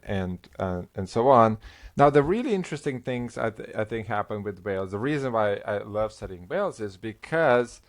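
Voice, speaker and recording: A middle-aged man with a Slovenian first language, a linguistics professor talking as a guest in an interview, halting with many restarts and fillers. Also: on the low side. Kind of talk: conversational interview.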